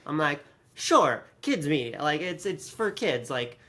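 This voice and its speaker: Bright, slightly high-voiced sardonic man. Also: unhurried. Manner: animated, slangy, acts out imagined reactions. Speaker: podcast host. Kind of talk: casual chat with sardonic commentary.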